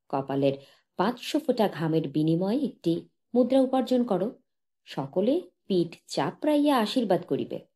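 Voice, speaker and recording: Woman, audiobook narrator, lowish pitch, soft dark tone, measured expressive reading, dramatic pauses. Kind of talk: literary story narration.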